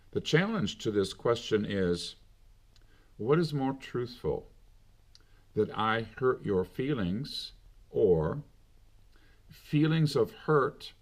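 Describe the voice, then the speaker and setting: A middle-aged man delivering a slow, deliberate monologue with long pauses between phrases. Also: medium pitch. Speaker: commentator. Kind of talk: unscripted monologue.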